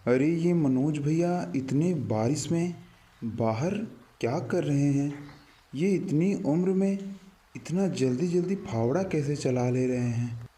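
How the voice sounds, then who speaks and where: A man's voice: fairly high pitch, takes it slowly, dark tone, breathy edge. A man voicing an anxious, questioning male traveller in a horror cartoon. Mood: puzzled.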